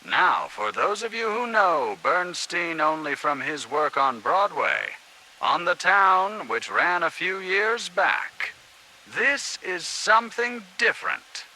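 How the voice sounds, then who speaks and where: Bright, animated American 1950s radio announcer man. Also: a little high, takes it slowly, slight background noise, rough recording. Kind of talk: 1950s American radio broadcast, scripted news and sports.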